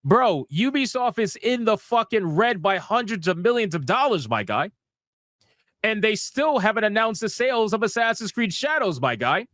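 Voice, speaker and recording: High-pitched man ranting sarcastically. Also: big pitch swings, moderate pace, a bit bright, dry recording, studio quality. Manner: sweary, slangy, emphatic, repeats phrases for effect; sarcastic, mocking. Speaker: host of a gaming news livestream. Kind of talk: casual ranting commentary.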